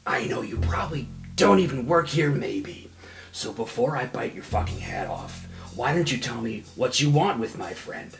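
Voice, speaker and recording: A man's voice: medium pitch, lively intonation, creaky, a bit bright, soft background music. A man playing an insistent, protective student janitor. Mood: confrontational. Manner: halting, insistent, colloquial. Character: blustering male student janitor, protective, confrontational.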